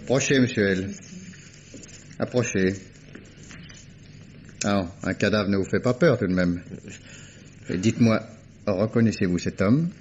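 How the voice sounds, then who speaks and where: An actor playing a sardonic police inspector probing suspects, in an old radio play. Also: male voice, fairly low pitch, a little slow, pauses often, darkish tone, lightly acted. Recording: dull old radio recording with faint hiss.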